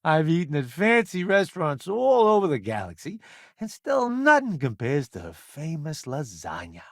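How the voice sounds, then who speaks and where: A man's voice: on the high side, wildly swooping pitch, normal speed, no echo, clean studio sound, exaggerated voice. A man playing a flamboyant, wisecracking celebrity food critic turned spaceship crewmate in a video game, glib, theatrical and sardonic. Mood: breezy, boastful. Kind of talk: video game character voice acting.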